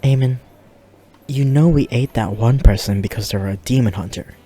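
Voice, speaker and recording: A man's voice: mid-range voice, normal speed, mellow, faint music behind, close to an everyday voice. A man playing a flirty, teasing demon who reports and hosts in a fiction podcast. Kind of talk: fiction podcast banter and monologue.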